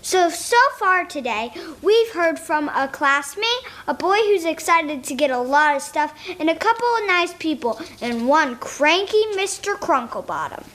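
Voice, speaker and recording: A woman's voice: high-pitched, big pitch swings, a little slow, few pauses, a bit bright, exaggerated voice. A female performer playing a chipper young girl who co-hosts a kids' podcast in a radio play. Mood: upbeat. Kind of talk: scripted radio play acting.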